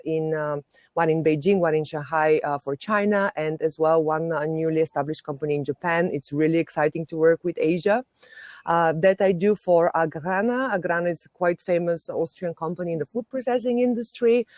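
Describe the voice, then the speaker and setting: A woman's voice: low voice, a little mellow, no echo, studio quality. A woman from Bulgaria speaking on an online conference panel, introducing her finance career with many hesitant fillers.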